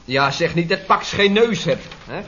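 A man's voice: fairly high pitch, lively intonation, normal speed, bright, forceful tone, lo-fi sound, a little hiss or hum. An actor playing a cheeky male cousin in a radio comedy serial. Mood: gleeful.

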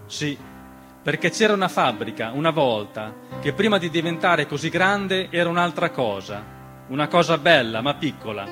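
Guy doing radio theatre storytelling, voice a bit high, measured and dramatic, piano playing behind. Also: sing-song. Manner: measured, dramatic delivery with deliberate pauses and repeated phrases. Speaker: stage storyteller and narrator. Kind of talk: scripted theatrical narration, radio theatre monologue. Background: piano music.

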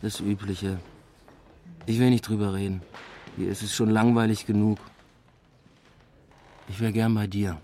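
An actor playing a dry, understated male academic in naturalistic radio drama dialogue, laconic and low-key. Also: flat delivery, a little slow, long pauses, warm tone, a little hiss or hum, close to an everyday voice. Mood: dry, teasing.

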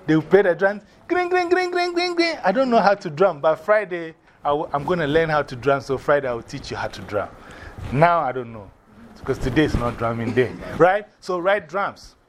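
Ghanaian male teacher, animated classroom delivery, high pitch, faint classroom ambience. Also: moderate pace, a little hiss or hum. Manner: lively, repeats and spells out words, laughs often.

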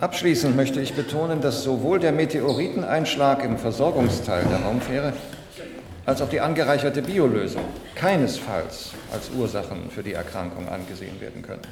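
A man's voice: mid-range voice, moderate pace, close to an everyday voice. A man as a scientist speaking in an echoing hall. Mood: firm. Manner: emphatic, formal. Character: assertive male scientist giving an official report. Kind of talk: formal speech in radio play. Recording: echoing hall, music behind.

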